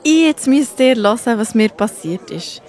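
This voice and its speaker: Woman playing a high-voiced young Swiss German woman. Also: animated, a little slow, darkish tone, some chatter behind. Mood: excited, amazed. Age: young adult. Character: weary young biblical woman at a well. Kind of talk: children's Bible audio drama.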